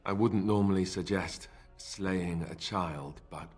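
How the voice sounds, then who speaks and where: A man's voice: low voice, takes it slowly, husky, soft background music, heavily acted. An actor playing a morally troubled warrior in a video game, earnest and sceptical in his delivery. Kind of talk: dramatic video game acting.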